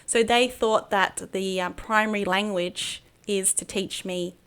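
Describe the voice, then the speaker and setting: A middle-aged woman talks through her childhood as a podcast guest. Her voice sits at an ordinary pitch, and her sentences come out halting, full of fillers and restarts. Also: fairly even intonation, fairly bright tone, smooth. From Australia. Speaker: podcast guest, business mindset coach. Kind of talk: personal interview storytelling.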